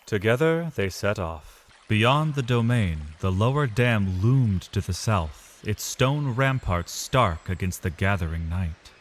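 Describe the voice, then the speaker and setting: A man delivering dramatic, measured literary narration as the storyteller of a scripted fantasy tale. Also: fairly low pitch, lively intonation. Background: music.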